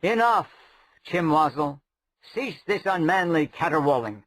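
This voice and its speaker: A man's voice: a little high, animated, unhurried, a bit bright, no room sound, voice pushed up. A man playing a pompous, prim prince. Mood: haughty. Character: haughty, fastidious young prince.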